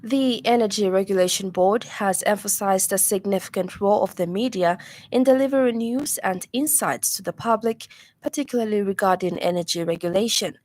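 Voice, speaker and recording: A woman news anchor reading a radio news bulletin in a measured, formal delivery from a studio. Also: mid-range voice, a little mellow. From Zambia.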